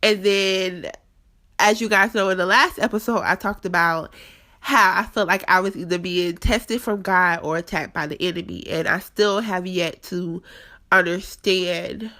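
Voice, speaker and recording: A woman's voice: fairly low pitch, unhurried, sharp tone. Stuffed-up young woman hosting a podcast. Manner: chatty, candid, addresses listeners directly. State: stuffed-up nose. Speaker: podcast host. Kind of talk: casual faith-based podcast talk. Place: apartment.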